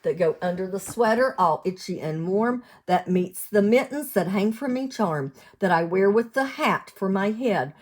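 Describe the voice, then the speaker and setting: An American woman reading a storybook aloud to young children as a teacher would, in an expressive sing-song way, asking them questions. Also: medium pitch. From United States, lived in West Virginia.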